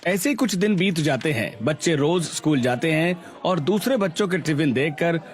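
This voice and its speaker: A man's voice: a little high, expressive, moderate pace. A man reading scripted narration for a story as its narrator.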